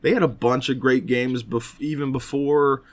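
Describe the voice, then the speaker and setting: A man's voice: mid-range voice, steady tone, normal speed. A man chatting casually as a podcast co-host, often hedging and circling back as he talks. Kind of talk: casual chat about games.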